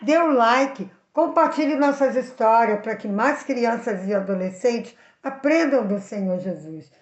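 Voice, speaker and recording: A woman with a Brazilian accent telling a moral story for children in expressive narration. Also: on the high side, measured pace. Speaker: children's storyteller and channel host. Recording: echoey room, slightly dull sound.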